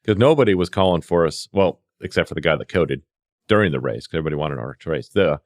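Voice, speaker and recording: Male podcast guest, low register, rapid casual storyteller who acts out dialogue. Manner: animated re-enacting of dialogue. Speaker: podcast guest, veteran EMS worker.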